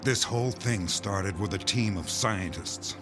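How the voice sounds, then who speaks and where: A man playing a grim doomsday insider in an audio drama, speaking in measured, weighty phrases. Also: lowish voice, lightly acted. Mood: grave, bitter. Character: grim male insider revealing a doomsday conspiracy. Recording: music bed under the voice.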